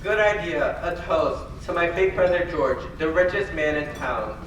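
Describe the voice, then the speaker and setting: A man's voice: a little high, expressive, moderate pace, a bit bright, slight background noise. A teenage male student playing a proud younger brother in an old-time radio play. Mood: proud, fond. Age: teens. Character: Harry, proud, warm-hearted younger brother. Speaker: student theater performer. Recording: echoey hall from far off, faint music.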